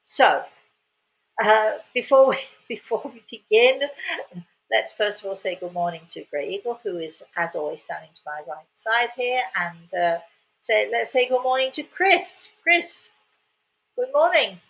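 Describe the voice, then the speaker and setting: A woman's voice: mid-range voice, lively intonation, a little slow, vocal fry, a bit bright, low-quality recording. An older Englishwoman hosts her show and tells a casual story. She speaks with a chatty, rambling manner. She often repeats words and drops in cheerful asides. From England. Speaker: host.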